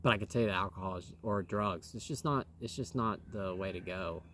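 A man in his thirties, slightly hoarse from dust, rambling earnestly to the camera outdoors with many fillers and clearing his throat. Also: deep voice, brisk, a bit bright, a little hiss or hum. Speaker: vlogger. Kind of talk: casual talk to camera. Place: outdoors in a wooded yard. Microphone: camera in front at close range.

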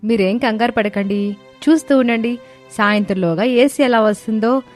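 A woman's voice: a little high, moderate pace, a little mellow, faint music behind, close to an everyday voice. A woman voicing a confident, dutiful young wife and mother in a dramatised story, assertive and reassuring.

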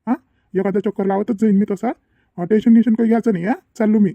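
A man's voice: high-pitched, fairly even intonation, very fast, no room sound, narrow, tinny audio. A man voicing a protective, generous father in a cartoon family drama, reassuring and repetitive, with a Varhadi Marathi accent.

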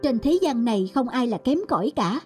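Woman doing gentle young wife in a cartoon folk tale, high pitched, encouraging, music behind. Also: expressive, a little fast, natural voice. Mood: tender, encouraging. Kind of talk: animated moral-tale voice acting.

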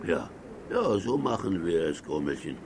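An actor playing a fawning, soft-hearted villain's sidekick who wheedles in a children's audio play. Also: male voice, low voice, sing-song, moderate pace, slight background noise. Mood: coaxing. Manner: wheedling, servile.

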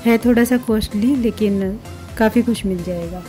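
A middle-aged woman with an Indian accent narrates a vlog in a soft, mellow tone. She explains things chattily, as if to friends, at a medium pitch. Music plays behind her voice. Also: normal speed. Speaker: vlogger and channel host. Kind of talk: scripted-sounding travel vlog narration addressed to viewers.

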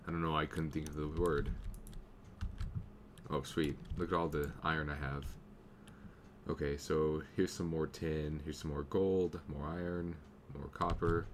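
A young man with a slight cold, casually commenting on his game as he plays and thinking aloud, now and then clearing his throat. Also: a bass voice, little pitch movement, unhurried, slight background noise. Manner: easygoing, thinking aloud while playing. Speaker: gameplay commentator. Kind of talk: casual let's play commentary.

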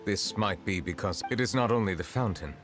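A man voicing a calm, reserved dragon chief justice in human form for a video game, speaking formally and with measured care. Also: deep voice, music in the background. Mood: grave, reserved. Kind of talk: English video game voice acting.

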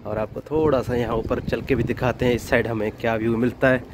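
A man's voice: mid-range voice, fairly even intonation, a little fast. A man giving casual, informal vlog commentary on the sights around him, often exclaiming in admiration. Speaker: vlogger and delivery driver. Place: in a moving car and outdoors. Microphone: phone held in hand, no external mic. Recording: loud traffic and outdoor noise, no microphone.

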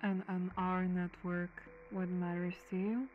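A woman's voice: on the low side, little pitch movement, unhurried, natural voice. A woman reading a radio station slogan as an announcer, on a muffled, noisy broadcast. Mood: polished announcing.

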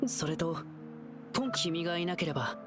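男性が演じる 表情豊かで元気な少年. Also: male voice, on the high side, expressive, moderate pace, music in the background, natural voice. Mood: warm, sincere. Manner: eager, boyish, upbeat. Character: cheerful, earnest young lion-dance performer boy. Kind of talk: anime game voice acting.

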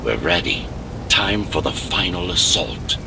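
An actor playing a cold, domineering older male AI in a video game, speaking in a formal, commanding, deliberate way. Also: sits low, expressive, vocal fry, recorded from a distance, noisy background, exaggerated voice. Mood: calm, smug.

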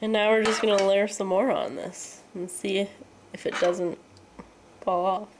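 A woman's voice: medium pitch, fairly even intonation, unhurried, background noise. Congested woman vlogging with a cold. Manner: chatty, rambling, laughs often. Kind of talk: casual food diary vlog. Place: home kitchen and living room. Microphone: handheld camera at arm's length.

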